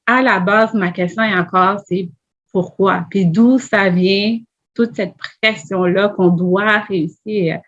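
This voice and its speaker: A woman, a podcast guest speaking earnestly in a panel discussion. She builds her points one after another and presses with questions. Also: medium pitch. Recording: dull lo-fi audio.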